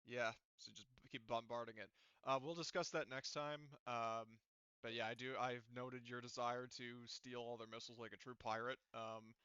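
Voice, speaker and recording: A man's voice: mid-range voice, level tone, moderate pace, pushed and bright, clear, resonant tone, dry recording, lo-fi sound. A man casually narrating and explaining a tabletop game to his players as game master. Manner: informal, explanatory.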